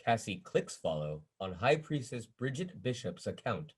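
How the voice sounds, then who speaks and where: A man's voice: lowish voice, big pitch swings, moderate pace, slightly rough. A man reading scene descriptions and stage directions as narrator of a scripted table read.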